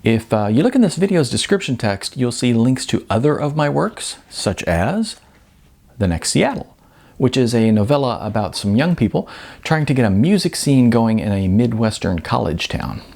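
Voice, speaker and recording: A man reads a story aloud as its author, in an animated voice that rises and falls freely. His delivery is expressive, with dramatic emphasis, and his tone has some roughness to it. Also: mid-range voice, normal speed. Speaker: author reading own novel. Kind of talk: animated audiobook-style narration.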